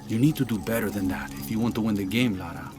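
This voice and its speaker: Lowish-voiced roguish gambler played by a man. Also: fast talker, close to an everyday voice. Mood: sly, amused. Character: proud, roguish gambler mentor, sly and philosophical. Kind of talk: video game cutscene acting. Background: music.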